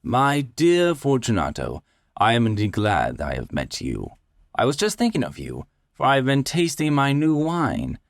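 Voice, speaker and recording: A man's voice: medium pitch, huge pitch swings, moderate pace, dry recording, clean studio sound, voice pushed up. A man voicing a falsely friendly, scheming nobleman in a storybook reading, coaxing and politely solicitous. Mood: feigned warmth.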